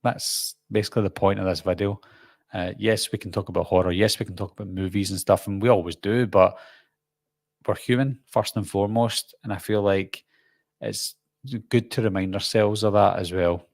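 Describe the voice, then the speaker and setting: A man's voice: lowish voice, fairly even intonation, normal speed, gravelly, no room sound. A man with a Glasgow accent, a YouTube host rambling casually through viewer comments on a live stream, full of ums and restarts. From Scotland.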